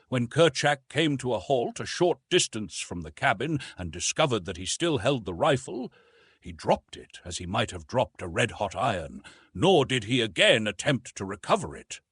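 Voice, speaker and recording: A man reads literary fiction as an audiobook narrator. His pitch moves widely and expressively through measured, emphatic phrases. The voice has a husky grain and sits in a dry recording with no room sound. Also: no room sound.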